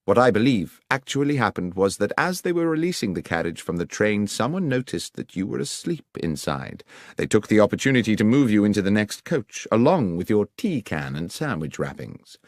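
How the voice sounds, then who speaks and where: A man voicing a brisk, coolly confident Victorian detective with an English accent, precise and authoritative, in an audiobook. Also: lowish voice, big pitch swings, no room sound, clean studio sound. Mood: explaining, confident. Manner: precise, authoritative, methodical questioning. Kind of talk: audiobook character dialogue.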